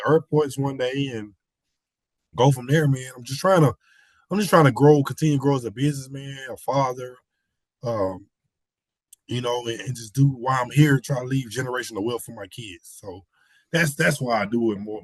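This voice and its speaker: A man with a slightly hoarse voice from a cold, talking basketball as a podcast guest in animated casual chat, repeating his points. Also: mid-range voice, normal speed, no room sound. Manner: animated, repeats phrases, slangy. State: hoarse, getting over a cold.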